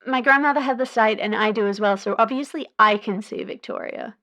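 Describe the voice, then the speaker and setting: A woman acting an earnest, higher-voiced young female caller. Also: fairly quick, pushed and bright. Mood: eager, nervous. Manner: hesitant, chatty, with filler words. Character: anxious, earnest young woman calling a radio advice show. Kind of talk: audio drama phone-in.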